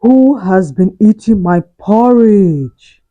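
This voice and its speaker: A woman voicing a very big, indignant father bear from a children's storybook, in a loud voice with slow, demanding questions. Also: mid-range voice, expressive, no echo, phone-quality sound.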